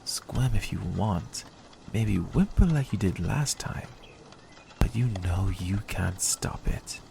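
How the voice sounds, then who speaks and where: Man acting a slow, gravelly, smug vampire. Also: lowish voice, expressive, background noise, exaggerated voice. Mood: hypnotic, soothing. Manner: slow, teasing, menacingly coaxing, sometimes whispering. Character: smug, predatory male vampire, teasing and hypnotic. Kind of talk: ASMR roleplay acting.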